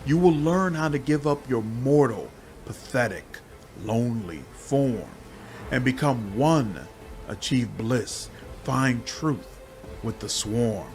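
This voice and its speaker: A suffering, hungry demon made of countless insects, played by a man, speaks as game dialogue. It is very slow and halting, setting words out one at a time at a middling pitch, with music underneath. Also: expressive, a little mellow. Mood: coaxing, solemn. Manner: halting, intoning lists of synonyms one by one. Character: many-bodied demon insect swarm, female, captive, hungry, suffering.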